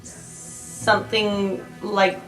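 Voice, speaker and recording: A woman's voice: mid-range voice, little pitch movement, very slow. A woman giving casual in-character replies as a player in a tabletop roleplay game. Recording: roomy, faint music.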